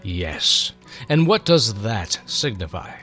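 Man playing a wise, fatherly old seer, animated pitch. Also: soft background music, heavily acted. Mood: gently instructive. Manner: measured, fatherly, instructive. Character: wise old male seer, kindly patient mentor. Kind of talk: dramatic storybook reading.

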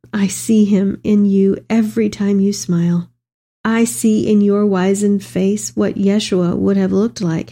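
Casting: actress for an aged mother of the Messiah in audio drama, soft dark tone with a husky grain, tender, reflective monologue. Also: medium pitch. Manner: reflective, reverent storytelling. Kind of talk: dramatic monologue reading of a letter.

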